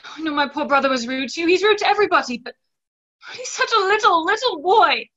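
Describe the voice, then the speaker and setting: A young woman playing an earnest, resolute gentlewoman in love in a stage play reading, composed and carefully enunciated, over a video call. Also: very high-pitched, expressive, fast. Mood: pleading, insistent. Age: young adult. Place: small room at home, video call. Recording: dull video-call audio.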